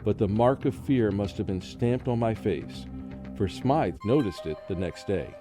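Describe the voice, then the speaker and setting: A man playing a frightened college professor in a radio horror drama, with a brooding, halting, dramatic delivery. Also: on the low side, animated. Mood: haunted. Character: frightened haunted male college professor recounting a curse. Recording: music under the voice.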